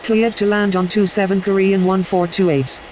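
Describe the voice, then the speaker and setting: A businesslike airline pilot, played by a performer in a flight game, makes scripted radio calls. The voice is mid-pitched, over a faint hiss. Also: level tone, normal speed, darkish tone, close to an everyday voice, poor audio.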